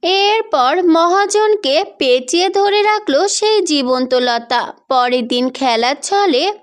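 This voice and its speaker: A woman's voice: very high voice, expressive, slow pace. A woman storyteller reading scripted fairy tale narration for children.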